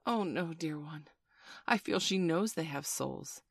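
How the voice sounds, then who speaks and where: A woman voicing a placid, tender mother in a storybook, speaking in a gentle, soothing manner. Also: deep voice, animated, unhurried, creaky, breathy edge, no echo, studio quality, exaggerated voice. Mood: gentle reassurance. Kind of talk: storybook character voice.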